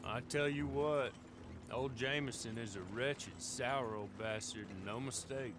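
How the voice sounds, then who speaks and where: An actor playing a sour, grumbling prison guard in a video game, rambling in a gruff, sardonic way. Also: male voice, medium pitch, unhurried, music in the background, lightly acted. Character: grumbling, sour middle-aged male guard.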